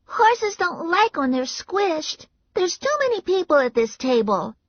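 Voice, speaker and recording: A woman voicing a squeaky, whiny little girl who thinks she is a horse, in an audiobook story. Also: very animated, unhurried, no room sound, lo-fi sound, exaggerated voice. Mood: whiny complaining. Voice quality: squeaky childish voice.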